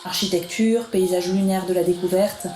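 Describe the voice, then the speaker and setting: Mellow woman narrator, echoey sound. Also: fairly low pitch, steady tone, moderate pace, soft background music. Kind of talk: scripted radio narration.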